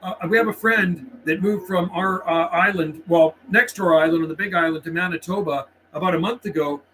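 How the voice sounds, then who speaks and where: A man's voice: high voice, fairly quick, fairly bright tone, rough recording. A middle-aged Canadian man hosting a casual live radio stream, chatting in a friendly, laid-back way and calling out on CB channels. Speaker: livestream host and CB radio operator. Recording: clear background noise, radio ambience. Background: CB radio noise and ambience.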